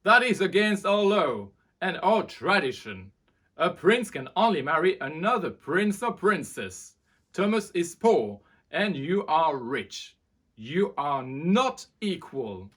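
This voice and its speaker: A woman voicing an older king and father in a storybook, loud, emphatic and full of feeling. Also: fairly low pitch, sing-song, slow pace, pitched above the performer's own voice, heavily acted. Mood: indignant, angry. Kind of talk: storybook character reading.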